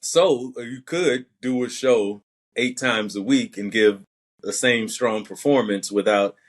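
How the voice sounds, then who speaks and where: A man's voice: medium pitch, measured pace. A young man with a light Southern accent, an actor answering interview questions in a thoughtful, methodical way. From Alabama. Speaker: actor and podcast guest.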